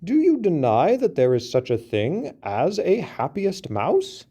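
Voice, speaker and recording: A man's voice: on the high side, huge pitch swings, unhurried, mellow, husky, voice pushed up, exaggerated voice. A man voicing a well-meaning male elephant who explains himself patiently and reasonably. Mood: persuasive.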